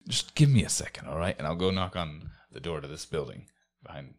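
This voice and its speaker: A man playing a tabletop role-playing game, improvising casually in a halting, plain-spoken way. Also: extremely low pitch, lively intonation.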